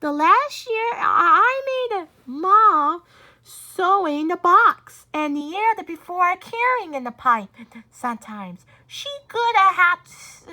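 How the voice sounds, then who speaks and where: High-pitched woman reading a storybook haltingly. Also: bright, forceful tone. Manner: halting, stumbling over words. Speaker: storybook reader. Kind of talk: amateur read-aloud of a children's story.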